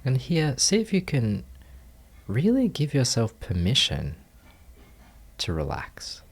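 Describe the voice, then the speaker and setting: A man leading a guided meditation, giving gentle, calm instructions in measured phrases with long pauses. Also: medium pitch, gravelly. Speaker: meditation teacher.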